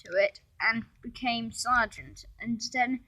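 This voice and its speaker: A female-sounding amateur storyteller reading a homemade story aloud, halting, with restarts and informal asides to listeners. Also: mid-range voice, pushed and bright, dry recording, rough recording.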